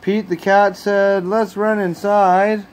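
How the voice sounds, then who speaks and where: A man reading a children's bedtime story aloud as a storyteller, with expressive, deliberate phrasing, recorded on a handheld phone at home. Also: high-pitched. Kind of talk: bedtime picture-book read-aloud. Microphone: handheld phone camera.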